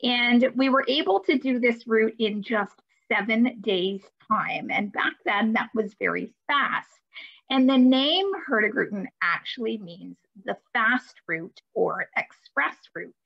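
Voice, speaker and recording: A woman's voice: fairly high pitch, measured pace, gravelly. A woman giving a friendly, upbeat webinar presentation over a video call, with light hesitations. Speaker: cruise line presenter. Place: home office. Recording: muffled video call audio.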